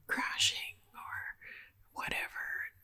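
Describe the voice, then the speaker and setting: A woman telling a chatty story in a soft ASMR whisper. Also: takes it slowly. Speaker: ASMR creator.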